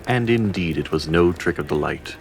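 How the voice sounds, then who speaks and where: A man's voice: low voice, expressive, moderate pace, vocal fry, a little hiss or hum. A man narrating a scripted fantasy audio drama in a formal, literary storytelling manner. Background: faint music.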